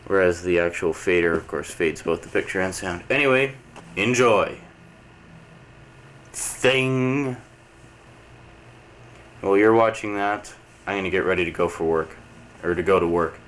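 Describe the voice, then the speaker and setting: A man's voice: low voice, steady tone, measured pace, long pauses, fairly bright tone. A man coming down with a cold, chatting casually and rambling to camera with lots of uh's, recorded on a camcorder mic in a room at home. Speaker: video letter host. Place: indoor home program room. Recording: noisy early-90s camcorder tape.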